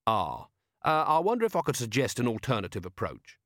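A man's voice: mid-range voice, huge pitch swings, brisk, a bit bright, no echo, clean studio sound. A man voicing an earnest young soldier who explains things in an eager, deferential, polite way.

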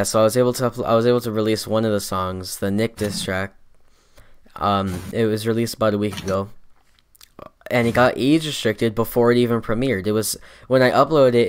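Young man, podcast co-host, rambling, restarts, casual, lowish voice. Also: smooth. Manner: rambling, laughing, many restarts and fillers. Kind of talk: casual chat.

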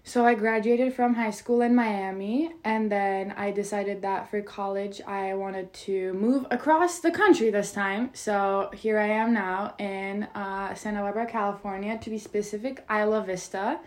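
A woman's voice: medium pitch, steady tone, a little slow, hardly any pauses, a little mellow. A young woman from Turkey with a Turkish accent, co-hosting a podcast in chatty casual talk full of "like". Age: young adult. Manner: chatty, lots of filler "like". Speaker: podcast co-host. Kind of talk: casual chat.

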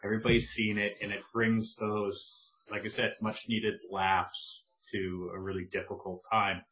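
Male podcast co-host, lower pitch, bright tense tone, laid-back teasing, muffled audio. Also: level tone, moderate pace. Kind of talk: casual podcast chat. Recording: dull lo-fi sound.

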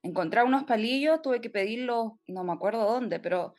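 Chatty woman, higher voice. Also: expressive, fairly quick, a little mellow. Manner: chatty, laughs often, speaks in loose run-on stretches. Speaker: podcast guest. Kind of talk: casual conversational interview.

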